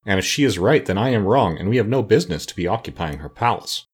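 A man voicing a mild, thoughtful scarecrow and former king from a children's storybook, reasoning in a measured, courteous way. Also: on the low side, lively intonation, husky.

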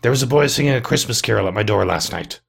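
An old miser from a storybook, acted by a man, regretful and easily moved. The voice is creaky and husky, with an emotional, excitable temper. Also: on the low side, quick pace, heavily acted.

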